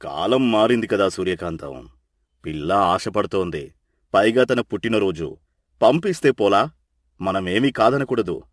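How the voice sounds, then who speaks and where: A man playing a calm older father-in-law in a family story, measured and persuasive as he reasons. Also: lowish voice, no echo, clean studio sound. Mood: coaxing.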